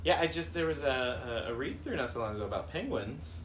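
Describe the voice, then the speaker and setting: A woman's voice: very deep voice, animated, brisk, a little hiss or hum. A woman co-hosting a casual improvised chat and reading out viewer questions.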